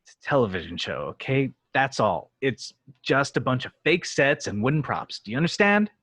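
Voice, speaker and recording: A man's voice: medium pitch, sing-song, moderate pace, fairly bright tone, husky, dry recording. A man reading a bitter, irritable washed-up TV actor with emphatic delivery in an amateur table read. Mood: angry, exasperated.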